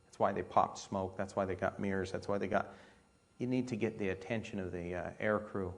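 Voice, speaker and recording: An American man, a military pilot, giving an informal storytelling talk in a conversational, halting manner full of asides. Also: sits low, fairly even intonation.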